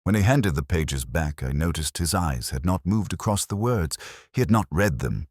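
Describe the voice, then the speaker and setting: Guy playing a thoughtful bookish detective telling his case, real low voice that rises and falls a lot, measured delivery. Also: expressive, darkish tone, breathy edge, no echo, clean studio sound. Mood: brooding, reflective. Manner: measured, literary, deliberate phrasing. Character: brooding, erudite middle-aged male English detective, reflective. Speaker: narrator of a detective mystery. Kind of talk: scripted first-person mystery narration, audio drama.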